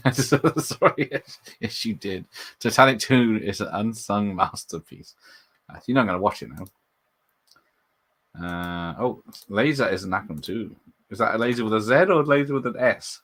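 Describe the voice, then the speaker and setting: A man's voice: fairly low pitch, moderate pace, a bit bright. A man hosting a casual live watch party, rambling and chatting about films, laughing often and answering his viewers. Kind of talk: casual live movie commentary.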